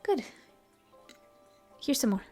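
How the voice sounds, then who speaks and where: A woman voicing a gentle, caring female elf ranger in an audio roleplay, speaking softly and often in a whisper. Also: slow, soft background music, lightly acted. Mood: soothing. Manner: soft, soothing, often whispered. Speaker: voice actress. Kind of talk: audio roleplay, comfort ASMR.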